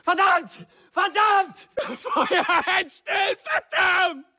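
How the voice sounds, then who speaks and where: Screaming, panic-stricken soldier. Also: male voice, very high voice, takes it slowly, sharp tone, shouting, full character voice. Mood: distraught. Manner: screaming, pleading, anguished. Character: panicking, despairing German soldier in brutal combat. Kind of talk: shouted video game battle voice lines.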